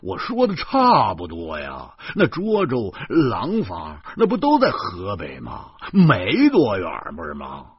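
A man's voice: on the low side, wildly swooping pitch, takes it slowly, hardly any pauses, breathy edge, dry recording, muffled recording, heavily acted. A man voicing a fat, boastful, stubborn male driver in an audiobook, arguing with stammering bluster. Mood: stubborn, flustered. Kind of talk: acted audiobook dialogue.

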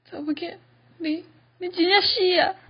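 A woman's voice: extremely high pitch, fairly even intonation, normal speed. A teenage girl acting a doting, protective, blustery father in an amateur radio drama. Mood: shocked. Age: teens. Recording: dull lo-fi student recording.

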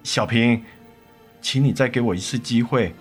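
A man's voice: mid-range voice, normal speed, breathy edge, natural voice. A man acting a cheating husband and father in a radio drama, by turns defensive and pleading, with emotional, faltering delivery. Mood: desperate. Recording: studio radio drama with music underneath.

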